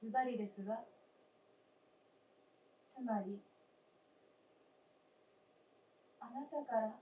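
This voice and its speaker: An actress playing the gentle, wistful spirit of a dead wife in a staged radio drama, with polite, old-fashioned feminine speech and deliberate phrasing. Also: female voice, on the high side, lightly acted. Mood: wistful, confessing. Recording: roomy stage, distant mic, faint noise.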